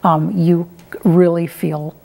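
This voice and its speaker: A woman's voice: lowish voice, flat delivery, slow pace, creaky, warm tone. A middle-aged woman answering interview questions in a conversational, plain-spoken and somewhat hesitant way. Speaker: interview guest, retired air traffic controller and professor.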